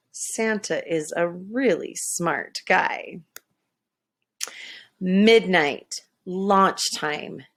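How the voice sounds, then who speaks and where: A woman reading a children's story aloud in an expressive, dramatic way. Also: medium pitch. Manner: animated, dramatic, with hushed asides. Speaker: storybook reader.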